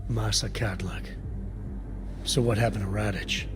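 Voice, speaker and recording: A low-voiced, gravelly male agent from a video game, played by an actor, guarded and terse. His delivery is clipped and deadpan. Music plays clearly behind him. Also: a little slow, lightly acted. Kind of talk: video game cutscene acting. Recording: music under the voice.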